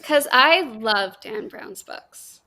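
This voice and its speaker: Casual female livestream host, higher voice, informal chat. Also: animated, slow, sharp tone.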